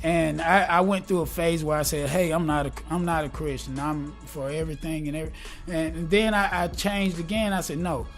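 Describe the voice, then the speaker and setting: A man in his forties, talking casually and earnestly in an interview, upbeat and a bit preachy, and quick to laugh. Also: on the high side, steady tone, faint music behind. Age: 40s. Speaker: guest, gospel rap artist. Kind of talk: casual conversation.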